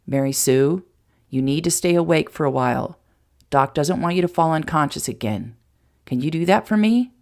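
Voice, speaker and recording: A woman reading a protective young man who speaks low and softly with concern. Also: expressive, moderate pace, vocal fry. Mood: worried, tender.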